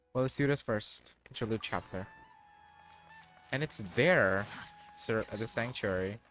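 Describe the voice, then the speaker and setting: Man, low voice, casual game commentary, slangy, offhand, faint music behind. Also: a bit bright. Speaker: livestreamer playing a game.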